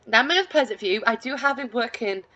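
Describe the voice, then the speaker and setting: A woman's voice: a little high, a little fast, pushed and bright, dull audio. A woman casually narrating her life-sim gameplay, rambling with many fillers and restarts, and poking fun at herself. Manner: self-deprecating, lightly laughing. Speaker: let's play gamer.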